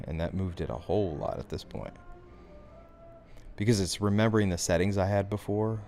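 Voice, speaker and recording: A man giving a software tutorial as instructor, talking through the steps in an informal, conversational way. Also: low voice, normal speed, faint music behind.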